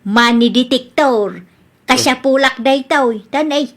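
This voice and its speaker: A woman's voice: high voice, measured pace. A woman playing a suspicious, insistent middle-aged village aunt in a comedy radio drama, with a nagging, scolding manner. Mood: confronting.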